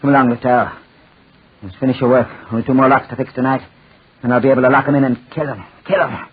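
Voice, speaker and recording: Male actor as frantic murderous old man, husky, repetitive, 1940s radio horror, muffled scratchy recording. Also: mid-range voice, fairly even intonation, quick pace, lightly acted. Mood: evasive, tense. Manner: agitated, obsessively repeating phrases.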